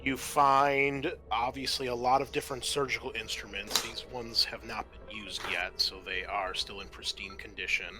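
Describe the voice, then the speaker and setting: A man's voice: medium pitch, fairly even intonation, moderate pace, few pauses, music in the background. A man acting as game master of a tabletop roleplaying game, talking casually to the players.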